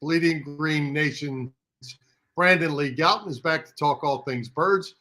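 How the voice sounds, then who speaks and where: Higher-pitched male sports co-host. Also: unhurried, sharp tone. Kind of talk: casual sports talk.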